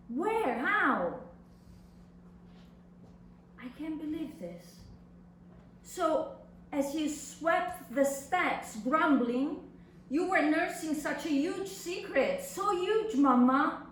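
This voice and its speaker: Echoey distant room, woman acting as incredulous daughter, high voice, slow theatrical monologue, exclaiming. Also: big pitch swings, exaggerated voice. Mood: stunned disbelief. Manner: dramatic, exclaiming, lingering on words with pauses. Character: incredulous grown daughter reading her mother's secret love letters. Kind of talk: theatrical dramatic monologue reading. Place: echoey room at a live public reading. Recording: echoey room from far off, faint noise.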